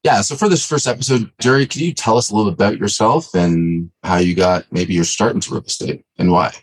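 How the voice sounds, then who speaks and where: Man, lowish voice, easygoing podcast host, casual questions. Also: fairly quick, poor audio.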